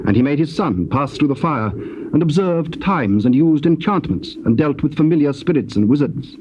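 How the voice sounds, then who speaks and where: A man narrating scripture from a script in a formal, measured manner. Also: on the high side, expressive. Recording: muffled, noisy old cassette tape.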